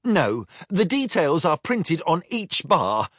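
Man putting on a high, fussy storybook robot brain, prim. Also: very animated, slow pace, fairly bright tone, husky, no room sound, clean studio sound, heavily acted. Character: prim, clever robot pirate quartermaster.

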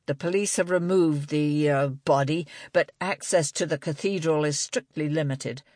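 A woman's voice: low-pitched, normal speed, darkish tone, no room sound, studio quality, lightly acted. A woman narrator voicing a fussy, gloomy male librarian who grumbles and exclaims, in an audiobook. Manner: grumpy, exclamatory, muttering.

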